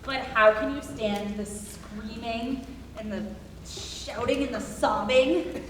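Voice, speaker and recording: A fretful, frightened woman newly behind bars, acted by a woman on stage, with a fairly high voice. She sounds distant from the mic in an echoey room. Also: fairly even intonation, slow pace, a little hiss or hum. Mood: anxious. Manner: anxious, fretful. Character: frightened, restless mother, new to prison. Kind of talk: live staged reading of a musical.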